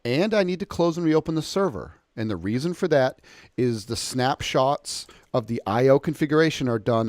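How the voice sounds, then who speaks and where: American man giving an informal software walkthrough. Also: medium pitch, dry recording, clean studio sound. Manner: explanatory, conversational. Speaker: software tutorial presenter. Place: indoors, close dry voice-over of a screen recording.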